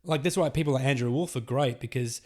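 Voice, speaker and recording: A man's voice: mid-range voice, darkish tone. A man hosting a comedy podcast, chatting casually in a rambling way and agreeing often with the guest. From Australia. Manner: chatty, rambling, quick affirmations, laughs easily. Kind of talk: casual chat.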